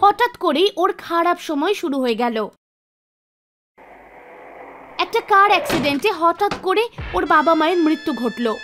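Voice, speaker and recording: Very high-pitched woman storyteller over music. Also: expressive, moderate pace. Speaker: storyteller narrator. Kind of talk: scripted storytelling narration for an animated tale. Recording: music under the voice. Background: background music.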